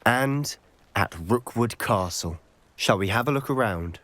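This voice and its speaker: A man's voice: mid-range voice, lively intonation, measured pace, fairly bright tone. A young man with a British accent playing an earnest, stubborn teenage wizard in a video game cutscene, intense and wry. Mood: hopeful, pensive.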